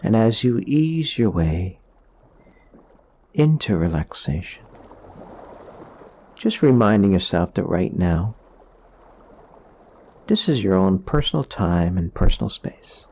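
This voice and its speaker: A man leading a guided meditation in a soothing, gentle, explanatory way, leaving pauses between phrases. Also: deep voice, sing-song.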